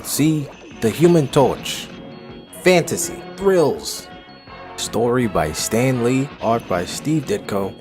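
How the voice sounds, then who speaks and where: Male comic book narrator: dramatic, portentous scripted read, lowish pitch, clear music bed. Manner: theatrical, portentous.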